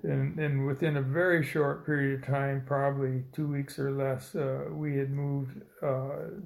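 A man in his seventies from Indiana, telling his life story slowly in an oral history interview, with many pauses and fillers. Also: medium pitch, flat delivery, gravelly, roomy sound. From Indiana, United States. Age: 70s. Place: old building, a former dormitory.

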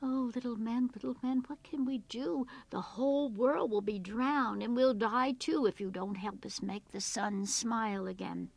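A woman's voice: a little high, fairly even intonation, unhurried, vocal fry, few pauses, dry recording. A woman voicing frightened villagers who plead urgently for help in a plaintive folk-tale voice. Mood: anxious pleading. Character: frightened villagers begging wise men for help.

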